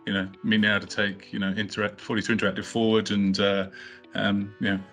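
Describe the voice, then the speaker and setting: A middle-aged man with a bright, forceful voice speaks at a fairly low pitch. He answers in a casual, halting way, full of ums and restarts. Soft guitar music plays under him. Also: flat delivery. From not from Australia, studied in the UK. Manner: hesitant, many fillers and restarts. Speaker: company co-founder, podcast guest.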